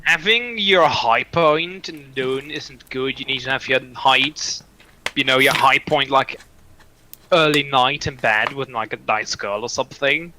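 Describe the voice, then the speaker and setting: A man's voice: mid-range voice, unhurried, bright, forceful tone. Joking Dutch man, noisy background. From Netherlands. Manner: blunt, joking, rambling. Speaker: guest in voice chat. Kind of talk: casual chat.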